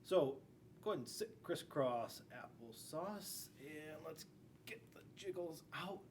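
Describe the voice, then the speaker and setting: A man's voice: a little high, lively intonation, measured pace. A man in his forties reading a storybook to young children, with playful, chatty asides. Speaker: school resource officer reading to children. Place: school classroom. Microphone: camera a few feet away. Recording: distant mic, faint room noise.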